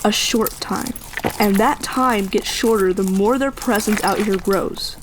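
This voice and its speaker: A woman's voice: mid-range voice, a little slow, background noise, close to an everyday voice. A woman playing an earnest, self-doubting young village woman in an audio drama, with a hesitant way of talking. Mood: earnest reasoning.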